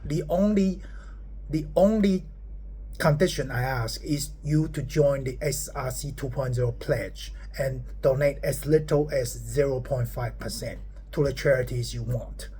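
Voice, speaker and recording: Man from Taiwan, 40s, founder, casual talk to camera, rambling, repeats himself, keeps saying okay, middle pitch, phone mic. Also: a little slow, mellow, breathy edge. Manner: rambling, repeats words and asks "okay?" often. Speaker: startup founder.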